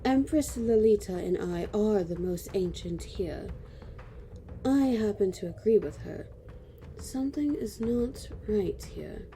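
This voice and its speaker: A woman playing a calm, ancient queen in a fantasy audio drama, speaking in a measured, regal way. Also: mid-range voice, slight background noise. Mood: grave, knowing. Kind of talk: fantasy audio roleplay acting.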